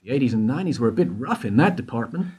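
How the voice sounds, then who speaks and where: A man's voice: medium pitch, lively intonation, racing, warm tone, breathy edge. A man playing an investigator who keeps pressing a witness with question after question in a radio play. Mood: probing. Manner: persistent, probing questioning. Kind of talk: amateur radio play acting.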